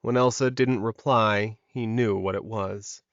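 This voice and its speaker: A man's voice: lowish voice, steady tone, unhurried, no room sound, lo-fi sound. A man reading scripted fiction as an audiobook narrator, in a dramatic storytelling style.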